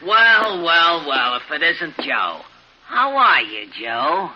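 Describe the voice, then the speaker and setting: Casting: pompous radio-play know-it-all, piercing bright tone, animated delivery, muffled old recording. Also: male voice, fairly high pitch, takes it slowly, full character voice. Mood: mocking. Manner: pompous, mocking. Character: conceited, sneering male artistic rival and pianist. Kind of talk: 1950s radio drama acting. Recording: muffled, faintly noisy vintage radio.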